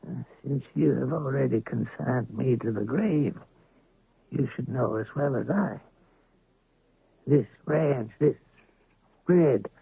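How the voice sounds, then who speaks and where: An actor playing a gruff, worn-out rancher and unlucky gambler with gravelly, folksy speech in an old radio drama. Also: male voice, medium pitch, no echo, full character voice. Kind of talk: 1970s radio drama acting. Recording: lo-fi phone-band dry old radio recording.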